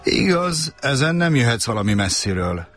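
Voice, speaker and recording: A man voicing a small, gentle boy prince from a tiny planet, speaking high and full of wonder. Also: sing-song.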